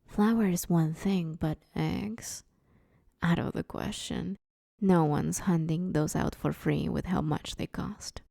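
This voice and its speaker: A woman's voice: on the low side, fairly even intonation, slow pace, vocal fry, warm tone, no room sound, studio quality, full character voice. A woman playing an awkward, broke but friendly supervillain neighbor in a roleplay audio drama, rambling casually and hesitantly as she angles for favors. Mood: sheepish, casual.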